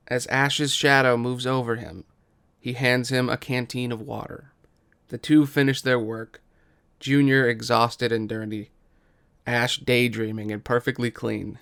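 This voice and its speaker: Gravelly male story narrator. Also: medium pitch, a bit bright. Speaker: narrator of a table read.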